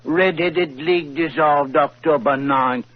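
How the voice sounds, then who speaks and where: An actor playing a proud, excitable red-headed London shopkeeper in a 1940s radio drama, talking in a bluff, folksy way. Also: male voice, on the high side, a little slow. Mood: telling. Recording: muffled 1940s radio broadcast.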